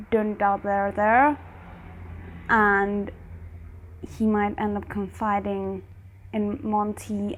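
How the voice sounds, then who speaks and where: Chatty woman thinking out loud. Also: mid-range voice. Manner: chatty, thinks aloud with many fillers and restarts. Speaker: reaction video host. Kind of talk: casual reaction commentary.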